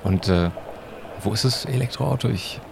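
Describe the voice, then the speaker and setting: A man's voice: on the low side, normal speed, gravelly, noisy background. A man in conversational audio drama dialogue, playing a time-travelling presenter with an inquisitive, marvelling manner.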